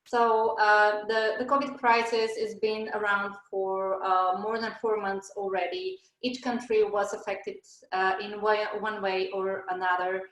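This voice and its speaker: A woman's voice: mid-range voice, little pitch movement, unhurried, fairly bright tone, reverberant, low-quality recording. A woman from Bulgaria in her thirties hosting a business webinar over a video call, hesitant with many fillers and restarts. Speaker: webinar host. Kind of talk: presenting a webinar.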